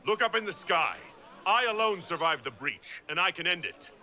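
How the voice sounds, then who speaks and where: A man's voice: sits high, sing-song, moderate pace, a crowd in the background. A man playing a bold, defiant young hero in a fantasy game, assertive and direct.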